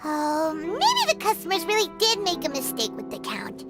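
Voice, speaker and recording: A woman playing an excitable, naive little girl in an English-language video game. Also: very high voice, moderate pace, exaggerated voice. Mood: puzzled. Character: excitable childlike little girl, naive, eager and sleepy-headed. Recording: game music clearly playing behind the voice. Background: video game music.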